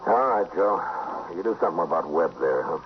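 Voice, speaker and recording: Very deep, stern old-radio western marshal. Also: male voice, animated, slight background noise. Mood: firm, questioning. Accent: American. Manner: laconic, measured, plain-spoken. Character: stern, weary frontier US marshal, middle-aged man. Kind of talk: 1950s radio western acting and first-person narration. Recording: muffled vintage radio broadcast with loud music.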